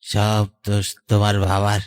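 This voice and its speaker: A man playing the vengeful ghost of a murdered young lover in an animated horror story, accusing and deliberate. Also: lowish voice, clean tone, close to an everyday voice. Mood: bitter, sorrowful.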